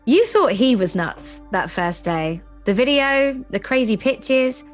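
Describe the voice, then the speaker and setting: Commanding female executive, higher-pitched, unhurried, knowing, audio drama, faint music behind. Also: lively intonation. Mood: knowing, grave. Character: composed, commanding female time-travel ad agency executive.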